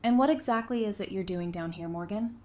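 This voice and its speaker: A woman playing a candid, caring, level-headed survivor in an audio drama, speaking in a measured, frank way. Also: medium pitch, a little mellow, lightly acted. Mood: curious, caring. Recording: faint background noise and ambience.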